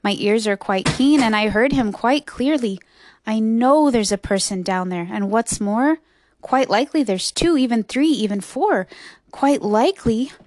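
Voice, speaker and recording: Woman voicing an earnest, high-voiced gentle elephant. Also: a little hiss or hum, close to an everyday voice. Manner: pleading, sincere. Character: gentle, earnest, protective elephant, pleading and determined.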